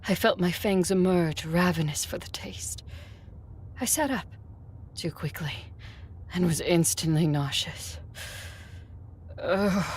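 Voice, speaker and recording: A woman acting an ancient, commanding vampire in an audio drama, with a breathy, measured and imperious delivery. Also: on the low side, darkish tone, a little hiss or hum. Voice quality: husky, breathy. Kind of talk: first-person audio drama narration.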